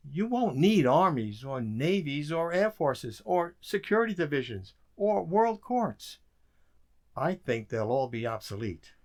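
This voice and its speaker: An actor playing a weary, dry-witted government codebreaker in an emphatic radio drama style. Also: male voice, mid-range voice. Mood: wry, reflective.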